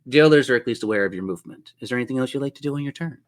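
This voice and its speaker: A man's voice: mid-range voice, animated, rapid-fire. A man running a tabletop role-playing game as game master, telling the story in a descriptive, theatrical way.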